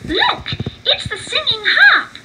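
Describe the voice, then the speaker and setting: A small cartoon boy mouse acted out by a woman exclaims with excitement. The voice leaps very high for this storybook hero. The recording is clearly noisy. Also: slow pace, full character voice. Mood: excited alarm.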